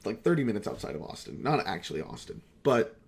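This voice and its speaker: A man's voice: lowish voice, sing-song, fast, vocal fry, husky. A young man talking casually in a rambling retrospective vlog, swearing freely and often putting himself down. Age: young adult. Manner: foul-mouthed, self-deprecating. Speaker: video creator.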